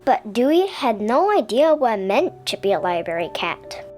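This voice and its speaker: A woman reading a children's story aloud as an expressive narrator. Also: on the high side, normal speed, faint music behind. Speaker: storybook reader.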